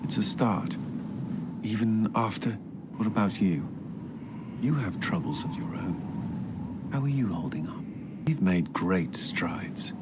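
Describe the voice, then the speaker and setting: An actor playing an earnest, guilt-haunted military commander in a fantasy video game, speaking in a formal, serious way. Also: male voice, fairly low pitch, expressive, very noisy. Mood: gentle, sincere. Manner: formal, earnest, halting when emotional. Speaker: military advisor. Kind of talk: dramatic video game voice acting. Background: loud background music.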